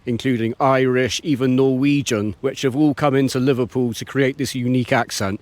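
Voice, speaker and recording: A man with a polished Received Pronunciation accent gives articulate, measured answers. The sound is dry and close, with no room around it. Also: mid-range voice, no echo. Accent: English Received Pronunciation. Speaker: interviewee. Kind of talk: street interview.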